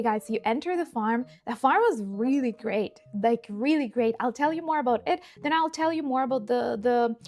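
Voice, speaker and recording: A woman from Ukraine, a native Ukrainian speaker with a Ukrainian accent, chatting casually and bubbly as she hosts a cooking livestream. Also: sits high. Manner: bubbly, animated, rambling. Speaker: livestream host.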